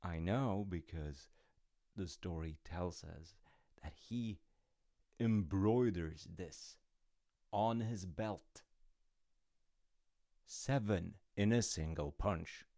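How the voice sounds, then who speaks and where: A man playing a gentle, wryly amused dad who tells his kid a folktale in an unhurried, musing, conversational way for an audio roleplay. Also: low-pitched, no echo, clean studio sound, exaggerated voice. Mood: amused storytelling. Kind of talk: audio roleplay, rambling bedtime-style storytelling.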